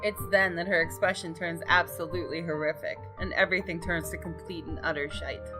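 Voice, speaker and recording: A woman gaming host giving an expressive, dramatic scripted narration of a visual novel. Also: on the low side, brisk. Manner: expressive, story-reading delivery. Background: game music.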